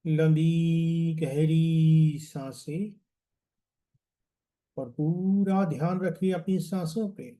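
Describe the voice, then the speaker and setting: A man leads a guided relaxation as a meditation coach, soothing and deliberate, repeating phrases and letting them trail off. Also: a little high, fairly even intonation, dark tone.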